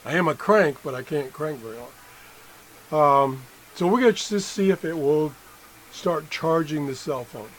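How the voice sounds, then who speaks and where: A middle-aged man with a slightly high voice talks through a hands-on demonstration at a workbench. He is halting and unscripted, often correcting himself as he thinks aloud. The indoor recording carries faint background noise. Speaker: amateur product tester and video host. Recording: noisy room with background sound.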